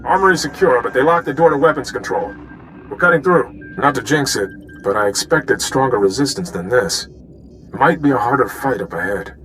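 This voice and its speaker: An actor playing a practical, wary soldier in a video game, brisk and matter-of-fact. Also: male voice, mid-range voice, lively intonation, a bit bright, soft background music, natural voice, poor audio. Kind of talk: scripted video game acting.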